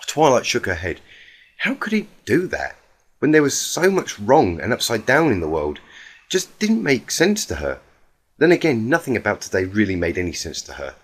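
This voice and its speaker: A man with a husky voice reading a story aloud in a dramatic audiobook style. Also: lowish voice, huge pitch swings, moderate pace. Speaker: fan fiction reader.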